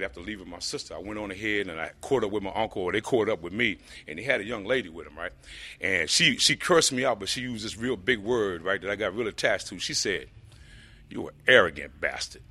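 A man with a deep voice telling his personal recovery story to a group, rambling with lots of fillers. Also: expressive, a little fast, pushed and bright, slightly rough. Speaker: speaker sharing a recovery story.

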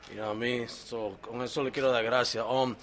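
A middle-aged Dominican-American man from New York giving an earnest, halting personal testimony at a recovery meeting, switching between Spanish and English fillers. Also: medium pitch, little pitch movement, fairly bright tone. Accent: Dominican-American, mixing English into Spanish. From New York, Dominican family. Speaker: speaker sharing at a recovery meeting.

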